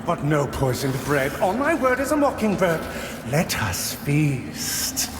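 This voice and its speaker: A mad zealot raves in archaic riddles, high-pitched and wildly swooping. The delivery is slow and theatrical, rapturous and unhinged, in a long echoing hall, like a dark fantasy game. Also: male voice, sing-song, background noise. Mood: brooding, rapt. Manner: theatrical, raving, archaic speech. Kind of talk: video game dramatic acting. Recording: echoey hall with long reverb, music.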